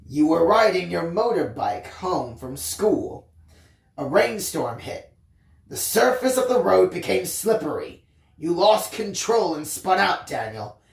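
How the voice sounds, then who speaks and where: A woman voicing a soothing, concerned father in a storybook reading, with a gentle, reasoning manner. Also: fairly low pitch, sing-song, measured pace, vocal fry, reverberant, exaggerated voice. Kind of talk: dramatised audiobook reading.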